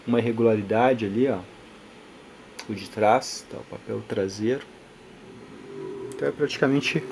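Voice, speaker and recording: A man with a Brazilian Portuguese accent gives an informal, halting technical explanation, often adding short check-in tags as he teaches. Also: medium pitch. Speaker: audio channel host and reviewer. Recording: clear background noise, room ambience.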